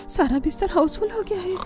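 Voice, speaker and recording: A woman's voice: very high-pitched, voice pushed up. A woman voicing a shivering, grumbling young sister-in-law from a poor cartoon household, in a plaintive manner.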